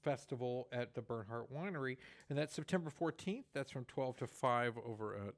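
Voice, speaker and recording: A man's voice: medium pitch, lively intonation, moderate pace, no echo. A man with a Texan accent hosting a community radio talk show, chatting casually in the studio with many restarts and fillers.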